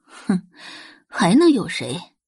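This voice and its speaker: A woman's voice: fairly low pitch, huge pitch swings, a little mellow, husky, no room sound, studio quality, heavily acted. A woman playing a scornful middle-aged rich mother who dotes on her daughter, haughty and dismissive, in an audio drama. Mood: scornful, disgusted. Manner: haughty, dismissive, drawn-out sighs and snorts.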